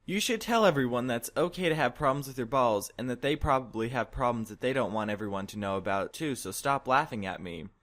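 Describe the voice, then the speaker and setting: A man's voice: mid-range voice, expressive, brisk, hardly any pauses, a bit bright, natural voice. A man voicing a helpful teenage cartoon fox friend in an earnest, plain-spoken manner.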